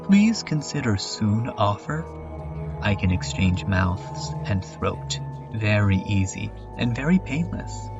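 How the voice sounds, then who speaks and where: A man's voice: lowish voice, big pitch swings, takes it slowly, highs cut off. A man voicing an uncanny, creepily polite stranger with stilted, formal, broken phrasing in a horror comedy dub. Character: uncanny stranger making polite, creepy body-swap offers. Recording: music under the voice.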